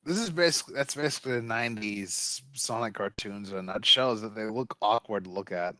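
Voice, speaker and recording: A man chatting casually as a first-time guest, joking and halting, with laughs and restarts, on a rough home connection. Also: on the low side, pushed and bright, no echo, poor audio. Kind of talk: casual chat. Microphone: home mic over a rough internet connection.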